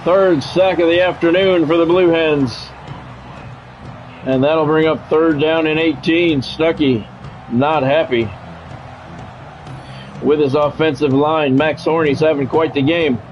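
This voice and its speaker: A man's voice: a little high, fairly even intonation, takes it slowly, long pauses, muffled recording, a little hiss or hum. A man doing play-by-play of a football video game on a live stream, mixing in plain-spoken, grumbling chat. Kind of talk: sports play-by-play commentary mixed with casual stream chat.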